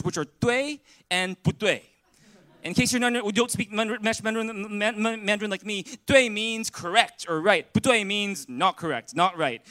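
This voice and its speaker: A man's voice: high voice, fairly bright tone. A man from Canada, a pastor, preaching an earnest, conversational sermon in a church and calling on the congregation to answer. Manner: earnest, conversational preaching with audience call-and-response. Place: church sanctuary.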